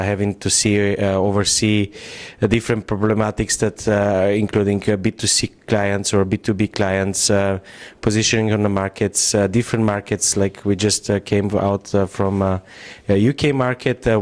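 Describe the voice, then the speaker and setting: A man co-founder adding to a pitch, hesitant, with many ums and uhs and non-native phrasing. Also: smooth. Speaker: company co-founder. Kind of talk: pitch presentation.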